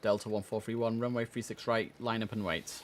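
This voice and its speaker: A man's voice: on the low side, flat delivery, brisk. Chatty man streaming an air traffic game. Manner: chatty, muttering asides between clipped formal instructions. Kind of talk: live game stream commentary mixed with radio-style controller calls.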